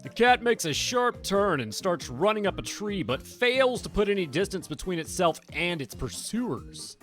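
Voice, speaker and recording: A woman streamer reading a visual novel aloud with expressive, dramatic storytelling. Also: lowish voice, moderate pace. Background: game music.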